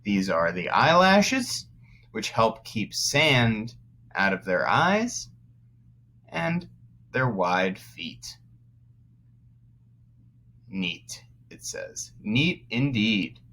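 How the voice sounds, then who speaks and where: A man teaching young children through a read-aloud, pausing to explain words as he goes. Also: on the low side, big pitch swings, pushed and bright, a little hiss or hum. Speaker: first-grade teacher.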